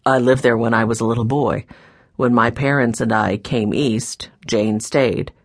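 A kindly old grandfather from a children's storybook, voiced by a woman, weary and troubled. The voice is pitched low and husky, with a subdued sadness. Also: fairly even intonation, measured pace, no room sound, studio quality, heavily acted. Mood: troubled, reflective. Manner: tired, sad, subdued. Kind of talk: children's audiobook character voice.

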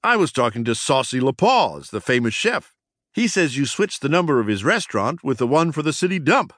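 A man voicing a brash, mischievous mouse cousin in a children's storybook, squeaky and giggly, with cocky, teasing delivery. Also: very animated, a little fast, fairly bright tone, no room sound, clean studio sound. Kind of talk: acted children's storybook dialogue.

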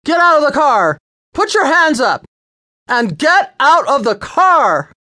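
A reader voicing a stern, fed-up male police officer who shouts commands and lectures a speeder in a storybook reading. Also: medium pitch, wildly swooping pitch, moderate pace, dry recording, clean studio sound. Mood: commanding. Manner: barking commands, then lecturing.

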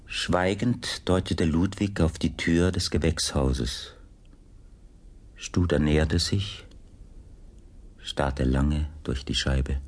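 A man's voice: rumbling low, takes it slowly, pauses often. A man narrating a scripted audiobook drama as its storyteller.

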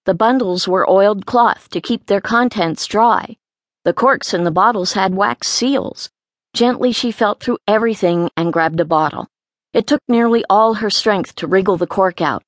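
A woman's voice: mid-range voice, dry recording, studio quality. A woman reading a story aloud as an audiobook narrator, in scripted narration.

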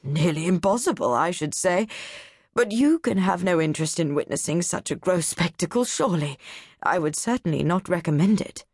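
A woman's voice: on the low side, animated, moderate pace, darkish tone, slightly rough, dry recording, clean studio sound. A woman voicing a lofty, pompous male Cambridge scholar who lectures and disapproves. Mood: disapproving. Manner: condescending, pompous.

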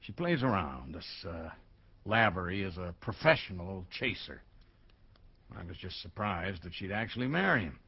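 An actor playing a troubled, blunt American businessman and wronged husband in a radio drama, speaking haltingly. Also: deep voice, big pitch swings, slightly rough, lo-fi sound, full character voice. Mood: bitter. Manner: halting, hesitant, with bursts of bluntness.

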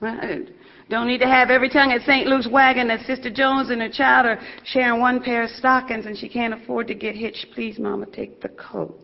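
An actress playing a sassy, proud young blues singer in a stage drama. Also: female voice, on the high side, level tone, a little fast, creaky, muffled recording. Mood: subdued, tender.

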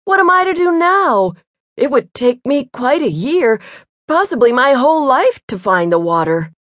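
A woman's voice: sits high, big pitch swings, normal speed, a bit bright, no room sound, pitched above the performer's own voice. A woman voicing a poor, anxious simpleton young man, wailing in despair.

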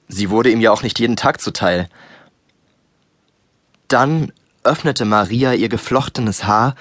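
Mid-pitched male disciple narrator. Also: a bit bright, dry recording, studio quality. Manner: reflective, storytelling. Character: male disciple recounting memories as storyteller. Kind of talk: scripted audiobook narration for children.

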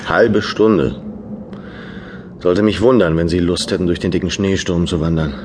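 An actor playing a gruff, reclusive landlord who is cold and mocking, talking in curt, clipped sentences in a radio drama. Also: male voice, deep voice, fairly even intonation, moderate pace, lightly acted. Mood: stern. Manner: curt, clipped sentences, sudden hushed menace. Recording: noisy room ambience.

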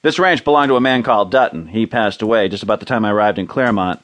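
A man's voice: lowish voice, fast, fairly bright tone, lightly acted. An actor playing a laconic, dry, cynical rancher and father with folksy understatement in a western radio drama. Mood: plainly hospitable.

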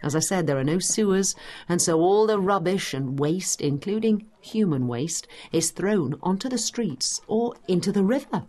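An actress playing a knowledgeable, brisk woman guiding a listener through history in a dramatised educational audio guide, with an explanatory, teacherly manner. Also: on the low side, lively intonation, a little mellow. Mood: informative, brisk.

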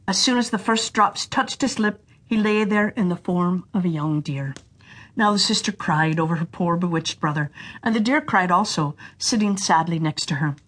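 A woman narrating a fairy tale for children in an expressive, deliberate storytelling manner. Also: fairly low pitch.

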